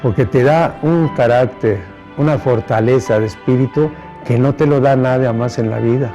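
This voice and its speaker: A man's voice: medium pitch, moderate pace, warm tone, soft background music. A man, giving reflective personal testimony. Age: 70s. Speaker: interviewee.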